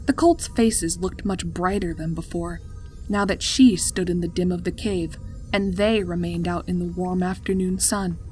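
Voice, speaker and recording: A woman's voice: fairly low pitch, normal speed, a little mellow, poor audio. A woman narrating a scripted story as its storyteller. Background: music.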